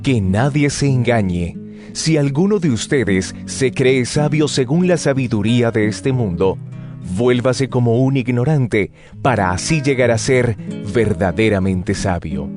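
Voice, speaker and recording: An actor playing a grave male co-writer of an apostolic letter in a dramatized Bible reading, deliberate and solemn. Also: mid-range voice, lively intonation, close to an everyday voice. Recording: plucked guitar music behind voice.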